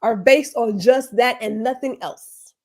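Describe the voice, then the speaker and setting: Emphatic, animated woman podcast host. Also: a little high, a little slow. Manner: animated, emphatic storytelling with rhetorical questions. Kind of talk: casual conversation.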